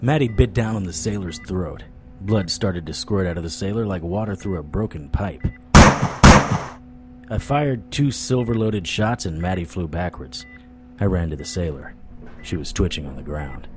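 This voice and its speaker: Low-voiced male gumshoe, wisecracking monster hunter, noir radio-play delivery, music underneath. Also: unhurried. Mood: grim, urgent. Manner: sardonic, dramatic. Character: hard-boiled, wisecracking male vampire-hunting private eye. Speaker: narrator and lead actor. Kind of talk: first-person noir audio drama narration. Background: dramatic music.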